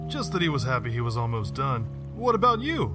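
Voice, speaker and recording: A man's voice: mid-range voice, sing-song, brisk, lightly acted. An actor playing a chatty, friendly, folksy local man in an audio drama. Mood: friendly, curious. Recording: music playing under the voice.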